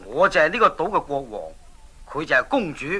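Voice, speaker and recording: A man's voice: on the high side, big pitch swings, brisk, pushed and bright, slightly rough, exaggerated voice. A man with a Hong Kong Cantonese accent playing a hearty, taunting island king in a children's audio drama. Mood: hearty welcome, warning.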